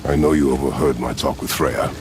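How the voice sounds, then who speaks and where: A man playing a stern, battle-hardened god of war and father in a video game, speaking tersely in a gravelly voice. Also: fairly even intonation, a little fast, noisy background. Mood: stern, probing. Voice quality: very deep, gravelly. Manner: terse, weighty, clipped commands.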